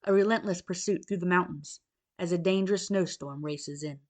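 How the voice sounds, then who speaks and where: A woman's voice: lowish voice, normal speed, darkish tone. Woman reading a scripted book blurb.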